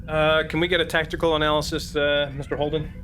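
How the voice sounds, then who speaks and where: A man playing a tabletop game, talking casually in a halting, self-correcting way. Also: fairly high pitch, flat delivery, fairly bright tone. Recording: faint background noise.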